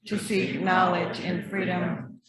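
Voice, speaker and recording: A worship leader reciting a church covenant in a measured voice, joined by the whole congregation. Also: mid-range voice, flat delivery, a loud crowd, low-quality recording. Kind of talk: unison liturgical reading. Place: church sanctuary. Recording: congregation reading along loudly.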